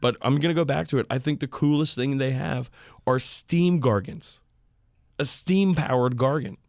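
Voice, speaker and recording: A man's voice: medium pitch, normal speed, gravelly, darkish tone, no echo, studio quality. A man hosting a podcast, explaining things in a casual, enthusiastic hobbyist way. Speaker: podcast host.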